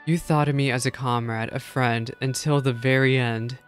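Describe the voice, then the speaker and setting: A man's voice: mid-range voice, fairly even intonation, moderate pace, soft background music, lightly acted. A man voicing a grieving, guilt-ridden game storykeeper in an earnest, solemn way. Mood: anguished.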